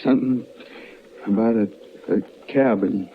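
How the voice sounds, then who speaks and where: An actor playing a badly wounded American cowboy, gasping and speaking in weak, broken phrases in an old radio western. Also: male voice, on the low side, measured pace, close to an everyday voice. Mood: weak, pained. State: gravely hurt, gasping, struggling to speak. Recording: muffled, noisy old radio recording.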